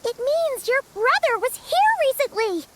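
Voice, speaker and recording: A woman voicing a small, chirpy, excitable childlike fairy companion in a video game. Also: measured pace, a little hiss or hum, exaggerated voice. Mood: thoughtful, excited. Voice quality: squeaky, childlike. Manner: refers to herself in third person, exclamatory. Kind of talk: video game character dialogue. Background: background game music.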